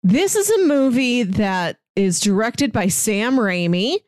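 A woman's voice: a little high, big pitch swings, slow pace, a little mellow, dry recording, clean studio sound. A woman in her thirties chatting casually as a podcast co-host, with a wry, rambling way of explaining things. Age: 30s. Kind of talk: casual pop culture chat.

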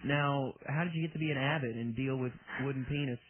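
A man hosting a comic talk radio show and playing the dry, deadpan straight man in the banter. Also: medium pitch, quick pace, a loud crowd. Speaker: radio host. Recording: phone-like lo-fi radio broadcast.

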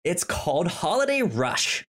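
A man co-hosts a laid-back podcast conversation, talking at an unhurried, slow pace. He stammers and restarts words often, leaning on fillers as he describes movies. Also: high-pitched, big pitch swings, fairly bright tone. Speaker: podcast co-host. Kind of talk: casual chat.

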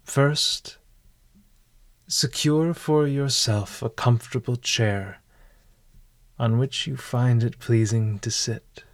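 Slow-paced man guiding a meditation. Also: medium pitch, steady tone, no room sound. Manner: formal, measured, literary phrasing. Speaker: meditation guide. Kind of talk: guided meditation narration.

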